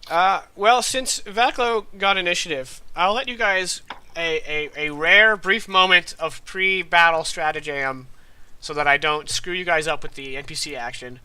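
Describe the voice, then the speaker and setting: Stuffy nasal guy running a tabletop game, bright voice, rambles a bit. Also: a little high, moderate pace, smooth, rough recording. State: congested from allergies. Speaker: game master.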